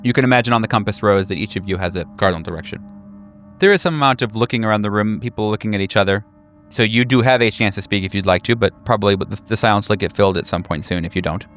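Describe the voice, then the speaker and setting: A man's voice: fairly low pitch, racing, a bit bright. A man improvising narration as game master of a tabletop game, tentative and often correcting himself as he describes.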